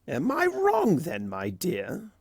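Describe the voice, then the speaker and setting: A man's voice: high-pitched, wildly swooping pitch, takes it slowly, vocal fry, husky, no echo, clean studio sound, pitched above the performer's own voice, exaggerated voice. A man voicing a mischievous, smug male chaos creature from a cartoon fan story, theatrical and mocking, drawing out his words. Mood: smug teasing.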